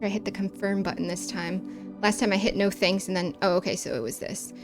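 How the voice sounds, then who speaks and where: A woman's voice: medium pitch, a little fast, music in the background. A woman from the American Midwest with a Chicago accent, chatting casually while streaming a game, with long vowels and careful over-enunciation.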